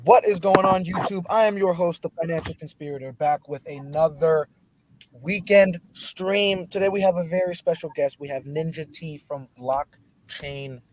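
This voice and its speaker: A man hosting a weekend livestream, energetic and emphatic, moving from bold lines to quieter asides, then reading out an article. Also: on the high side, moderate pace, a little hiss or hum, poor audio. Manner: energetic, emphatic, swings between loud and soft. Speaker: host.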